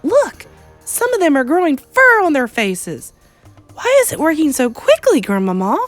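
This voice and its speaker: Storybook mouse-boy, voiced by a woman: young, high, eager, wide-eyed with excitement. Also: unhurried, no room sound, faint music behind. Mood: excited. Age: child.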